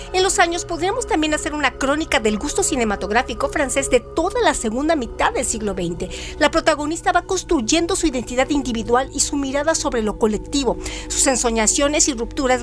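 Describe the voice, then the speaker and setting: A woman's voice: high voice, expressive, a little fast, over music. A woman co-hosting a literary radio show, reading scripted cultural trivia and analysis in an articulate, explanatory way.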